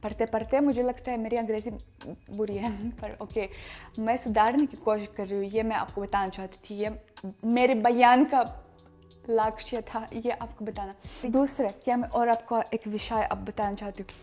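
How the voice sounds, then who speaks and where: Young woman vlogger, Russian accent, non-native speaker, halting word-searching delivery, warm higher voice over faint music. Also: steady tone. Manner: halting, searches for words, many restarts. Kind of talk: casual chat to camera.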